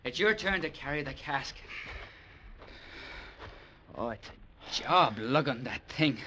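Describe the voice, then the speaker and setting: A crafty old-salt treasure hunter, drawling folksy sailor talk at a mid pitch. The old broadcast hisses loudly behind him, and the whole thing has the flavor of 1940s radio acting. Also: male voice, animated, slow. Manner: folksy sailor talk, calls others "me bucko".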